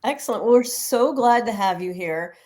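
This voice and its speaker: A woman's voice: fairly high pitch, animated, moderate pace, darkish tone. A woman hosting an interview in an upbeat, chatty way. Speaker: host.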